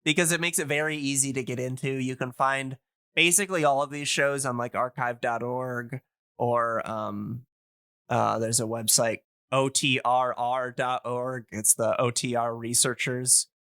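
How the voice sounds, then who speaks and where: A man's voice: medium pitch, fairly even intonation, unhurried, pushed and bright, clear, resonant tone, dry recording, clean studio sound. A man in a casual podcast chat, explaining things enthusiastically and in a discursive, meandering way.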